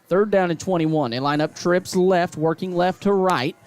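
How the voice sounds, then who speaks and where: Excitable radio play-by-play man. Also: sits high, normal speed, smooth, no room sound. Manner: rising to excited calls on big plays. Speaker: play-by-play announcer.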